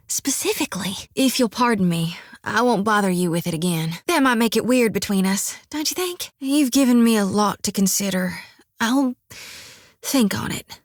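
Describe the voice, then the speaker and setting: A woman's voice: a little high, dry recording. An actress voicing a folksy, earnest young woman priest of death in a video game, with a drawl. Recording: dry studio recording.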